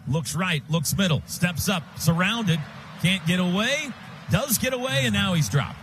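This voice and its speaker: An American man does live football play-by-play from a radio booth. His voice sits fairly high, and he fires off short, urgent phrases in the present tense. There is clear noise all around him. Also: lively intonation, normal speed, fairly bright tone.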